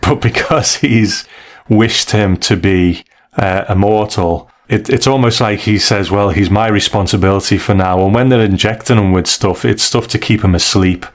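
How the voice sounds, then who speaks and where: A man's voice: on the low side, moderate pace. A man hosting a casual review discussion, retelling and explaining stories in a conversational, hedging way. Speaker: podcast host.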